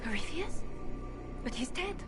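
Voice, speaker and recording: A proud female commander, declaims gravely in a fantasy game. Her voice sits on the high side and carries a solemn sense of duty. Prominent music runs beneath it. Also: sing-song, unhurried. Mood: doubtful. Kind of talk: dramatic fantasy game acting. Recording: loud dramatic music underneath.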